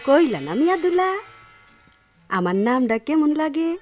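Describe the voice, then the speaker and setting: Coy, lilting young village wife. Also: female voice, high-pitched, very animated, unhurried, lo-fi sound, soft background music. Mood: playful, wistful. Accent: rural Bangladeshi. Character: tender, playful young village wife and mother. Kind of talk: Bengali radio play acting.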